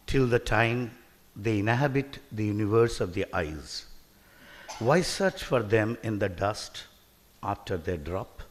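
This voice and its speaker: A man reading his poem in a slow, wry declamation at a literature festival. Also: fairly low pitch. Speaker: poet. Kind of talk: poetry reading. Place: festival hall. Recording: long hall reverb.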